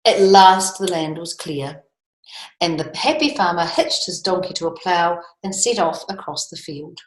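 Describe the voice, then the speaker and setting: A woman storyteller reading a children's book aloud with expressive, lilting emphasis. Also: lowish voice, unhurried. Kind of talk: storybook read-aloud with chatty asides. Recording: echoey room.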